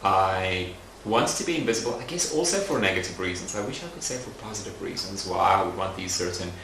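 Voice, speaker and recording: Young adult man, documentary interviewee, low pitch, gravelly, unhurried and reflective. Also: expressive, a little hiss or hum. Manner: thoughtful, soft-spoken, frequent fillers. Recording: roomy echoey recording.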